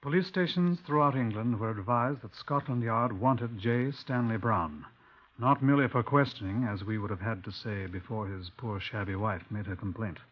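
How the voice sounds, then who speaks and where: A man acting a courteous, composed detective inspector of 1950s radio drama. He speaks in a measured, formal way with a slightly husky grain. The recording is old and muffled. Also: fairly low pitch, close to an everyday voice. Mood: formal narration. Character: composed male Scotland Yard inspector, polite, inquiring.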